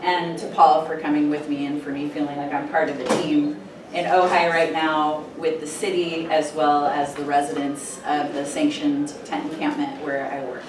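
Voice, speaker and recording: A woman's voice: deep voice, flat delivery, moderate pace, a crowd in the background. A woman giving a conference presentation, projecting her voice in an earnest, engaging way. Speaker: conference presenter, program manager. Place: conference hall. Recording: echoey hall, distant mic, room noise.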